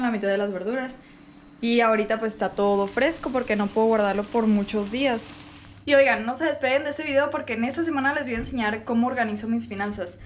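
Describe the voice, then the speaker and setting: A young woman with a Mexican accent, telling stories from her week in a chatty, colloquial vlog style. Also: on the high side. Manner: chatty, colloquial, acts out reported dialogue. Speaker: vlogger. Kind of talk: casual vlog storytelling.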